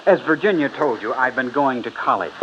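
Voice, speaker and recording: A man's voice: medium pitch, expressive, a little fast, lightly acted. An actor playing a nervous, evasive young man in a 1950s radio drama, defensive and stammering. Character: nervous, evasive young rancher-student, cornered killer. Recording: muffled old radio, faint hiss.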